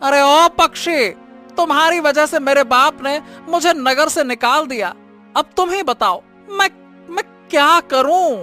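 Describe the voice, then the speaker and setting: Woman as a high, bright, excitable young prince. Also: unhurried. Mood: dismayed. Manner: theatrical, hesitant, exclaiming with repeated words. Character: excitable, lovestruck young exiled prince, naive and dramatic. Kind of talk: cartoon story voice acting. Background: music.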